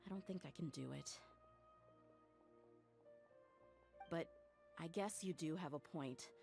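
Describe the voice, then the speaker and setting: A woman's voice: low voice, moderate pace, vocal fry, darkish tone, soft background music. An actress playing a tough young woman mercenary in a video game, blunt, weary and frustrated. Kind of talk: English video game voice acting.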